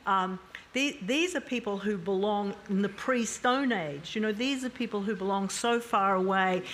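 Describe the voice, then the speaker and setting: A woman's voice: mid-range voice, a bit bright, slight background noise. Frank woman. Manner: frank, thoughtful, many fillers and restarts. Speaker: panel guest. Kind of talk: panel discussion.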